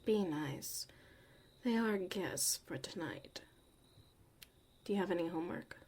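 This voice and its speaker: A woman's voice: fairly low pitch, lively intonation, a little slow, darkish tone, a little hiss or hum. A woman acting a tough but caring witch mother in an ASMR roleplay, muttering and often dropping to a whisper. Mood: firm, fond.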